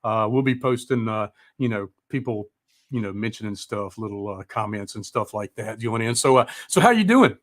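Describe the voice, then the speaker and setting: A man's voice: on the low side, lively intonation, normal speed. Man, middle-aged, live music show host, casual chat, rambling, informal, mildly profane.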